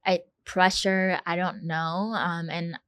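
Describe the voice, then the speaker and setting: A young woman from South Korea speaks earnestly in a lowish voice, stopping and restarting her sentences with lots of fillers. The sound is close and dry, with no room around her. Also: flat delivery, takes it slowly, fairly bright tone, smooth, no echo. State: tearful, fighting back emotion. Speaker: podcast co-host. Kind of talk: casual personal conversation.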